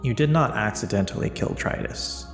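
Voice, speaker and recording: A man playing a stern, judging god in a tabletop game, speaking formally and with measured admonishment. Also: medium pitch, roomy sound, big-room sound, soft background music, lightly acted. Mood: grave, admonishing.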